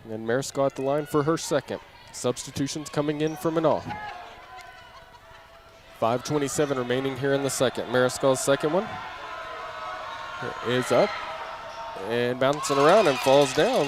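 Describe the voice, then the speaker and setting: A man doing live play-by-play basketball commentary in clipped, running phrases from a gym. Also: medium pitch. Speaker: play-by-play announcer. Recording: noisy gym ambience, bouncing ball. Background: clear game noise and ball bounces.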